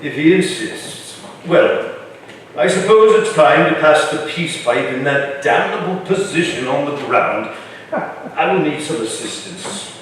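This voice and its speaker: An actor playing an imperious, shrewd older colonial governor with a formal, wry, commanding manner in a staged reading. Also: male voice, fairly high pitch, lively intonation, measured pace, a bit bright. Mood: dryly resigned. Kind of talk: staged reading of a historical play. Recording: echoey room from far off, faint noise.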